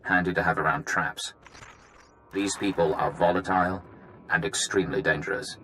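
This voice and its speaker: An actor playing a businesslike infiltration operative who gives a brisk, matter-of-fact recorded briefing in a video game. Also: male voice, deep voice, fairly even intonation, pushed and bright, slight background noise, poor audio.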